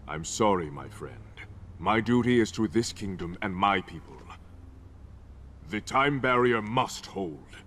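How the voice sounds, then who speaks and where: Low-voiced, proud guarded king. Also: lively intonation, fairly bright tone, slight background noise. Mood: firm, solemn. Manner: formal, measured, regal. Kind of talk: video game cutscene acting.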